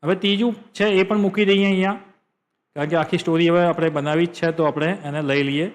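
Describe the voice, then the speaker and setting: A man with a Gujarati accent and a fairly high voice hosts a software tutorial. He explains what is on screen step by step, repeating phrases to drive the point home. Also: fairly even intonation, fairly quick. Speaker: tutorial host.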